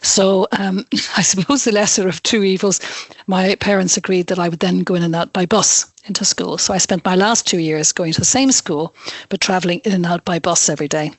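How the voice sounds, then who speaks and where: An Irish woman in her sixties, a guest telling her story in a chatty, relaxed radio interview. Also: lowish voice, fairly quick, dry recording. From Ireland. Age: 60s. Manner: chatty, laughs now and then while recounting.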